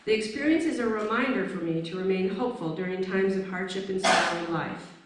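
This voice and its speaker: A woman's voice: medium pitch, a little fast. Woman presenting in a very echoey room. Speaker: event presenter, stand-in reader. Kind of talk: live introductions and reading aloud. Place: indoor event space, recorded from a distance. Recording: very echoey room, distant mic, faint noise.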